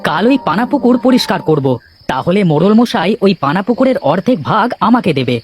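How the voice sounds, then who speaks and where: A man's voice: sits high, sing-song, fairly quick, husky, full character voice. A man voicing a bold, confident young village man in a cartoon, with music underneath. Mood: defiant, confident. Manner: confident, defiant. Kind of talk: cartoon character dialogue.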